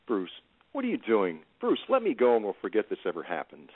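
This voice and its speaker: A man's voice: fairly low pitch, wildly swooping pitch, fairly quick, no echo. An actor playing a middle-aged man with guilt to hide, cornered, fearful and defensive in an audio drama. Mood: alarmed. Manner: agitated, incredulous, pleading. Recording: phone-like lo-fi line.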